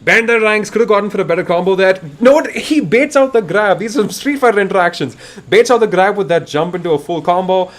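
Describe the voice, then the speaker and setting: A man from India doing live, excitable fighting game commentary, his voice getting raspy, swinging between hype and quiet asides. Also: high-pitched, a little fast. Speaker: esports commentator.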